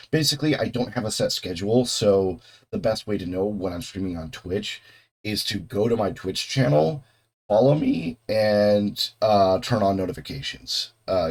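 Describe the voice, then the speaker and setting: A young man hosting a reaction video, talking casually with a voice still hoarse from a cold, rambling, swearing and restarting his sentences. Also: deep voice, a little mellow. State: hoarse, getting over a cold. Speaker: host of a video reaction channel. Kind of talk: casual off-the-cuff chat.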